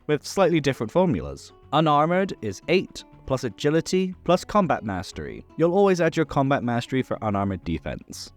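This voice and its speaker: A man presenting a tabletop game rules guide in a methodical, scripted explainer style. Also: mid-range voice. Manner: clear, methodical instructional delivery. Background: background music.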